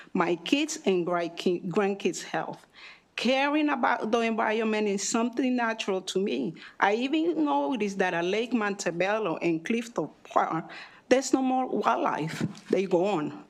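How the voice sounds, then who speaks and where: A middle-aged woman from Honduras reading prepared testimony at a hearing, slowly and carefully. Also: mid-range voice. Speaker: community witness.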